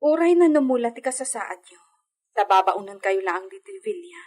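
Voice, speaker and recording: A woman's voice: mid-range voice, lively intonation, moderate pace, darkish tone, close to an everyday voice. A woman playing an inquisitive young lady of the house in a radio drama. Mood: probing, assured. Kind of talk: Ilocano radio drama acting.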